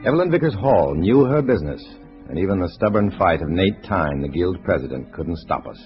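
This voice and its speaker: A low-voiced, wry secret agent tells his story in clipped, dry style. It is old-time radio drama, with music behind and a dull, band-limited sound. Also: very animated, fairly quick. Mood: dry, tense. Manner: terse, dry, hard-boiled. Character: cool, wry undercover agent posing as a Communist, narrating. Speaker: narrator and lead actor. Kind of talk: 1950s radio drama acting, scripted narration.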